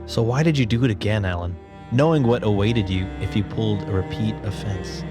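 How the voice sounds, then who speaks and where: Guy acting as a tired, principled superhero, lowish voice, reproachful, music playing under the story. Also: brisk, lightly acted. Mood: pleading. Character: earnest, weary male superhero, reproachful and principled. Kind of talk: dramatic reading of a superhero story.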